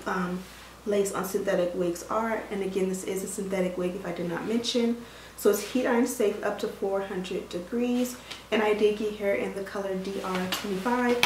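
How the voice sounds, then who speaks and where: A woman reviewing a wig for her viewers in casual chat, her voice hoarse and scratchy from a cold, with many fillers. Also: mid-range voice, darkish tone. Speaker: beauty vlogger reviewing a wig. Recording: roomy room sound, mic at a distance, faint noise.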